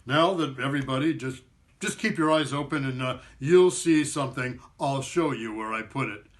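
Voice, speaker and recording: A man voicing an absent-minded father in a children's story, hesitant and halting, with a drawl. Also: mid-range voice, voice pushed down, lightly acted. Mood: teasing, slow.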